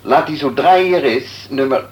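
An actor playing a calm, kindly family doctor in a Dutch radio play, with a reassuring, businesslike bedside manner. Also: male voice, mid-range voice, moderate pace, breathy edge. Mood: brisk. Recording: noisy old radio play recording.

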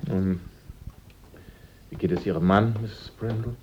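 A man's voice: deep voice, fairly even intonation, unhurried, darkish tone. An actor playing a cool-headed male investigator in a German radio drama, asking questions in a dryly polite way. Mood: casually probing. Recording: dull, noisy older radio recording.

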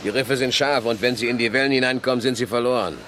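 Man acting a brisk, officious policeman, rapid-fire. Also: mid-range voice, fairly even intonation, background noise, close to an everyday voice. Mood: stern, officious. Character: stern official policeman warning a bather. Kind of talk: German radio play acting.

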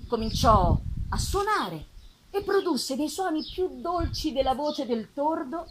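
A woman giving an expressive, dramatic literary reading with pauses and drawn-out phrases, performed live on stage. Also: high voice, noisy background. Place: live theatre festival performance. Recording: live music behind the voice. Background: live musical accompaniment.

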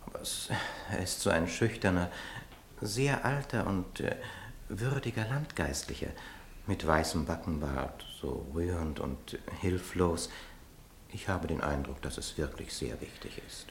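A gentleman's manservant in a radio drama, heard in an echoey room. His voice is very low. He speaks with formal, discreet deference and hesitates before objecting. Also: measured pace, slight background noise. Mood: hesitant, sympathetic. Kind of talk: German radio play acting.

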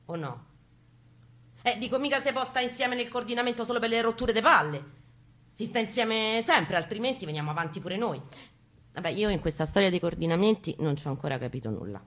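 A woman with a Roman Italian accent gives an expressive, irreverent, streetwise first-person theatrical reading in colloquial, slangy language. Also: medium pitch, brisk. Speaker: performer of a dramatic reading. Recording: voice over prominent acoustic guitar music. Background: live acoustic guitar accompaniment.

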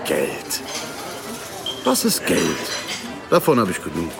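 An actor playing a quietly menacing crime boss in a radio drama, unhurried and ironic. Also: male voice, sits low, wildly swooping pitch, full character voice. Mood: amused, superior. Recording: music clearly behind the dialogue.

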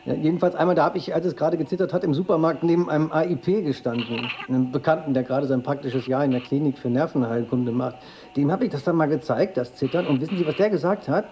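An actor playing a nervous hypochondriac office worker who rambles and hesitates, in naturalistic radio play style. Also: male voice, on the high side, quick pace, natural voice. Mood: anxious rambling. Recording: slightly muffled with faint background noise.

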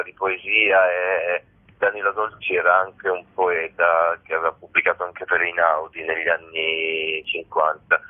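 Male interview guest, deep register, thoughtful and explanatory with mid-phrase pauses, heard over a thin phone connection. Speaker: theatre actor and writer, interview guest. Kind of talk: reflective spoken interview. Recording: muffled phone-line radio interview.

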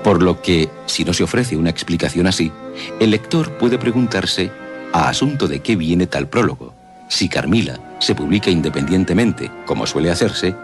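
Male co-presenter, deep and lively intonation, explanatory scripted book commentary with music behind. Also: moderate pace. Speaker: radio co-presenter. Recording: music bed under the voice.

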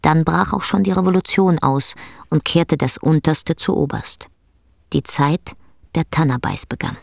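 A woman narrating a literary radio drama as a sober, measured storyteller. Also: sits low, creaky, dry recording, studio quality, full character voice.

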